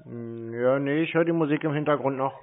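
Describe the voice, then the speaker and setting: A man chatting casually on a phone call, stubborn, deadpan and slow to cooperate. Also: medium pitch. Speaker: prank call host, scambaiter. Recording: thin, narrow telephone line.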